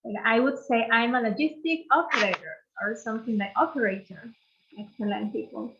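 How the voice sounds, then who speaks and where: A woman's voice: a little high, fairly quick, dull audio, background noise. A woman teacher from Peru with a Peruvian Spanish accent, leading an online English lesson and patiently repeating phrases for learners to copy.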